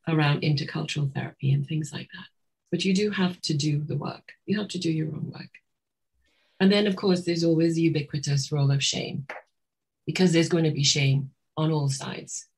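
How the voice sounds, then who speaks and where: A middle-aged woman with a low, husky voice, speaking as an interview guest. She talks in a reflective way, stops often to restart her thoughts, and uses fillers as she goes. She grew up in Trinidad. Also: darkish tone, poor audio. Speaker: psychotherapist guest.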